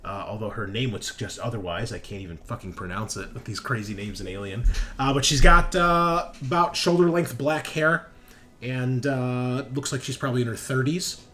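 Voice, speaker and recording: A man's voice: medium pitch, moderate pace, a bit bright. A man narrating a tabletop roleplaying game as game master, with casual, off-the-cuff storytelling.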